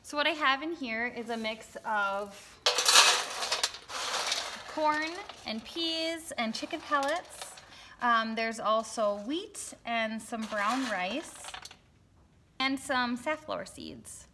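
A woman's voice: on the high side, takes it slowly, background noise. A woman in her thirties chatting casually in a barn pigeon coop, talking into a phone held at arm's length. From Wisconsin, United States. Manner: chatty, lots of ums and likes. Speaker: hobby farmer vlogger. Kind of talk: casual farm vlog.

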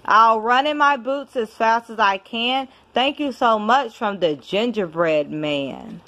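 A woman reading a children's picture book aloud at home in an expressive, deliberate storybook manner. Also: fairly high pitch. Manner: measured, deliberate storybook delivery. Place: indoors at home.

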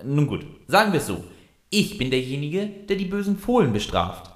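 A man's voice: fairly high pitch, very animated, normal speed. A man narrating a comic audio drama who is bossy and impatient and fumbles for words.

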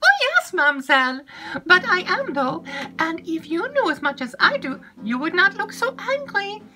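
A woman reading an audiobook and voicing a chattering, gossipy young maid with breathless, drawn-out emphasis. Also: extremely high pitch, sing-song, bright, forceful tone, pitched above the performer's own voice, exaggerated voice, rough recording.

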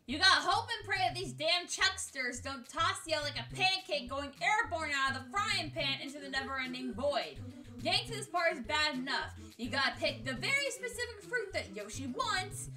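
A woman's voice: high voice, very animated, normal speed, sharp tone, distant mic. A woman hosting a gaming video, giving casual scripted countdown commentary in an exasperated, sweary, jokey way. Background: music.

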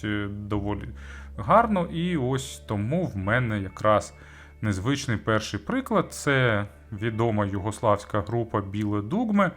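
A man's voice: mid-range voice, fairly bright tone. A man giving a conversational product review as host, searching for words and adding informal asides. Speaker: audio gear reviewer and host.

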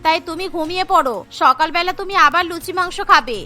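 A woman's voice: very high-pitched, fast talker, sharp tone, faint music behind. A woman voicing a young college-going sister-in-law who pleads and complains in a cartoon. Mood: pleading. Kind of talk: Bengali cartoon voice acting.

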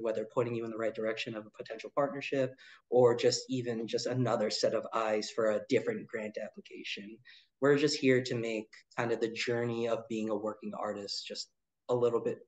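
A man in his thirties, an arts director, answering interview questions in a relaxed way with many ums and uhs. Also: medium pitch, highs cut off. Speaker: arts nonprofit director, guest.

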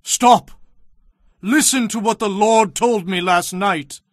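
An actor playing a stern, righteous Hebrew prophet in an audio Bible drama, declaiming in a commanding manner. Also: male voice, high-pitched, slow pace, no room sound, studio quality. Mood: indignant.

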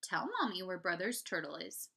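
A woman's voice: fairly low pitch, expressive, normal speed, gravelly, sharp tone, husky, exaggerated voice. A woman voicing a flustered, melodramatic, doting mother in a children's story. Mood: coaxing. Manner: dramatic, doting.

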